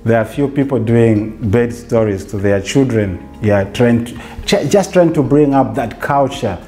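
A Zambian man in his forties, a theatre facilitator, talking reflectively in a relaxed interview in a bright living room, with restarts and "you know". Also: mid-range voice, unhurried, soft background music.

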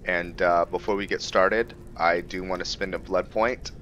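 A man's voice: deep voice, fairly even intonation, moderate pace, a bit bright, highs cut off, a little hiss or hum. A man hosting a casual let's play, commenting on his roleplay as he goes. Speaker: gaming host. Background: game music.

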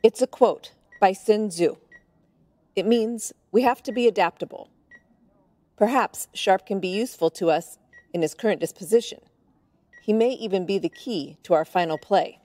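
A woman playing a cold, calculating military commander in an audio drama, stern, measured and condescending. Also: medium pitch, mellow, dry recording, lightly acted.

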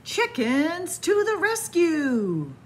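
A woman's voice: very high voice, drawn-out words. Playful woman reading a picture book. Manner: playful, animated storytelling. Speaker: children's librarian. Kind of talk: picture book read-aloud. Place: library, in front of bookshelves. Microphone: camera at close range.

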